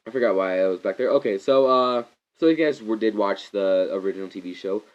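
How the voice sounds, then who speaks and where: A young man with a stuffy, congested voice gives a rambling, excitable movie review, often restarting his sentences. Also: lowish voice, quick pace. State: congested from a cold. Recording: slightly muffled lo-fi recording.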